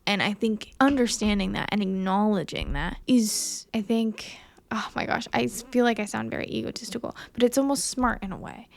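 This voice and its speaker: A woman's voice: mid-range voice, moderate pace, creaky. A woman in her early twenties giving a candid, earnest and self-conscious monologue to camera in a casual conversational way. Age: early 20s. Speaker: vlogger, young filmmaker.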